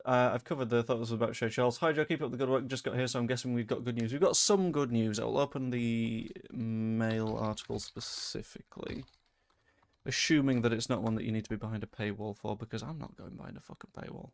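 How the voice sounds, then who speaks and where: Young man, softened Yorkshire accent, mid-pitched, rambling live-stream chat with dry asides. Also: fairly even intonation, brisk. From Leeds, England. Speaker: fan channel host. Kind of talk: casual live-stream chat reading comments.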